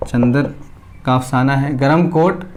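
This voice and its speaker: A male teacher giving a classroom lecture at a board, dictating names slowly word by word and answering his own questions. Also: mid-range voice, a little mellow, a little hiss or hum. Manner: asks the class questions and answers them himself.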